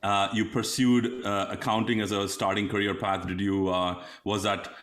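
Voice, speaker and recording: Man, low voice, interview host, hesitant, many fillers. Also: level tone, moderate pace, fairly bright tone. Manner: hesitant, many ums and uhs. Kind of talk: casual interview chat.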